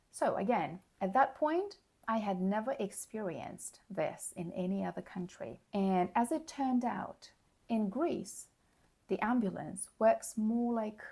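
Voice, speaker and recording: A hoarse woman giving a slow, clear English lesson, explaining words plainly and checking in with the listener. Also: medium pitch, warm tone. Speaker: English teacher. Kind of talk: slow, clear teaching monologue.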